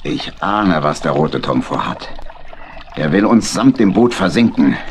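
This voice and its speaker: An actor playing a brave badger sea captain in a children's audio play, earnest and resolute. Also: male voice, low-pitched, lively intonation, unhurried, slight background noise.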